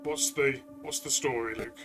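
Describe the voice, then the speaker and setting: A man's voice: mid-range voice, fairly even intonation, normal speed, music in the background. A man co-hosting a review discussion in a casual, conversational style. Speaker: co-host and game reviewer.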